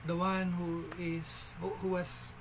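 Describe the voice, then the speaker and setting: A man with a Filipino accent telling a story in a casual vlog, halting, with frequent restarts and fillers. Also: sits high, completely flat delivery, noisy background. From Philippines.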